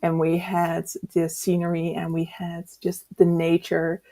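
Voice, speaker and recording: A woman co-hosts a casual book chat. Her voice is warm and mellow, sitting slightly low. She talks in an easygoing, chatty way. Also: completely flat delivery, unhurried. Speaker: book discussion co-host.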